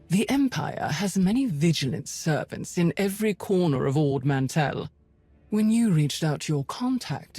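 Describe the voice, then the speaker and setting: Game villain: commanding Imperial spymaster. Low-key menace, formal diction, dry close recording. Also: female voice, no room sound, heavily acted. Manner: formal, measured, quietly threatening. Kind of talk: video game voice acting.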